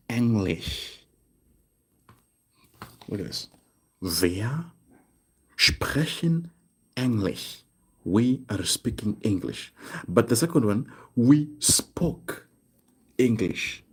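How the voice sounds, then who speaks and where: Slow husky male German tutor. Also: fairly low pitch. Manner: slow, repeats phrases word by word, asks "right?" often. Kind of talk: online language lesson, writing on cards. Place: desk indoors.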